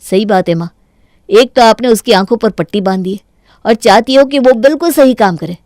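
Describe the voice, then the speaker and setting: A woman voicing a calm, reasonable young husband in a Hindi cartoon story. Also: on the high side, brisk, no echo, clean studio sound, close to an everyday voice. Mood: reasoning reproach.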